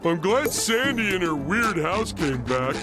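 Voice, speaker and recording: Dopey cartoon starfish, naive, childlike, lively intonation, loud music behind. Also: male voice, sits high, unhurried. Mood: whiny. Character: dim, childlike, good-natured male starfish sidekick. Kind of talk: cartoon video game acting.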